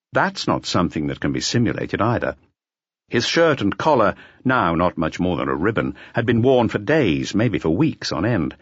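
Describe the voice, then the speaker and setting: A man's voice: low-pitched, dry recording, lo-fi sound. A man reading literary fiction as an audiobook narrator, in a scripted storytelling style.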